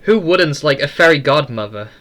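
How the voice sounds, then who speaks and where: A man's voice: on the high side, lively intonation, normal speed, a bit bright. A man voicing a shy, grateful teenage schoolgirl in a storybook reading, polite and a little hesitant.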